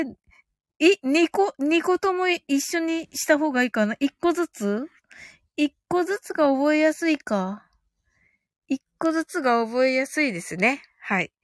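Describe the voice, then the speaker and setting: A woman's voice: sits high, a bit bright, dry recording, clean studio sound. A woman hosting a casual live audio stream, thinking aloud in a giggly, cheerful way as she chats and reads out comments.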